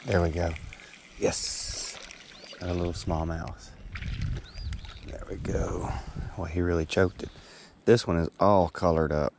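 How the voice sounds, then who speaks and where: A man narrating his own kayak fishing in quiet, muttered running comments, recorded outdoors on a river with a head-mounted action camera. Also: sits low, fairly even intonation, unhurried, vocal fry, long pauses, slight background noise. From Tennessee. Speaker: fishing guide.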